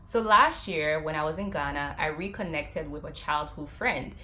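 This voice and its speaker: A young woman from Liberia talks straight to her viewers in a chatty vlog, explaining travel rules and slipping into quick, lively asides. Her voice sits fairly low, with a faint hiss of background behind her. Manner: chatty storytelling, acts out quotes with lively jumps. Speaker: travel vlogger.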